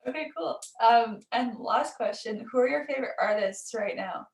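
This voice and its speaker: A woman's voice: fairly high pitch, normal speed, vocal fry. A woman interviewing a singer, enthusiastic and gushing, asking casual questions.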